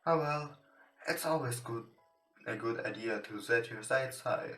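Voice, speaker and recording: Male let's player, non-native English speaker, hesitant delivery, unhurried pace, casual commentary and read-aloud, distant mic, background guitar music. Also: medium pitch, echoey room. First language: not English. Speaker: let's play host. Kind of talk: casual let's play commentary, reading game text aloud. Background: soft guitar game music.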